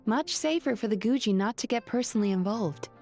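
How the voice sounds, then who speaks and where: An actress voicing a composed, earnest young businesswoman in a video game, speaking in a calm, measured, sincere way. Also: a little high, loud music behind the voice.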